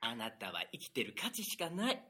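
A man's voice: mid-range voice, huge pitch swings, moderate pace, pushed and bright, breathy edge, pitched below the performer's own voice, exaggerated voice. A man putting on a doting middle-aged mother in an improvised radio drama, soothing and gushing over her son. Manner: soothing, gushing, pep-talking.

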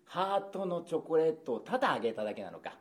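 Quick, lively man telling an anecdote. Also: a little high. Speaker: radio show co-host. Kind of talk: casual comic anecdote telling.

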